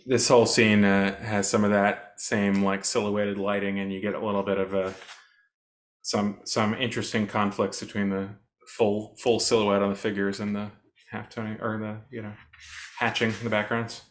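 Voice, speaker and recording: A man co-hosting a casual art discussion, speaking thoughtfully and pausing on fillers as he hunts for words. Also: fairly low pitch, little pitch movement. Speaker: co-host.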